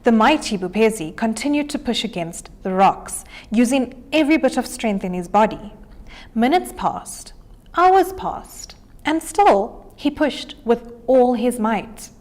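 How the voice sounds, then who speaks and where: Lady reading a kids storybook out loud, highish voice, expressive. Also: a little slow. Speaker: story reader. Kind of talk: animated children's storybook read-aloud.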